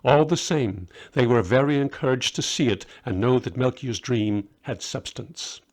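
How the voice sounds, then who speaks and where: A man narrates a short story as its author, reading from a prepared text. His voice sits at an ordinary middle pitch for a man. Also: moderate pace.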